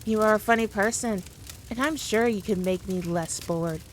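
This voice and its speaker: A woman's voice: mid-range voice, moderate pace, slight background noise, lightly acted. A woman playing a powerful demon queen in a scripted roleplay, talking down to the listener in a teasing, dominant, condescending way. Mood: coaxing. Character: haughty, playful, all-powerful female demon queen.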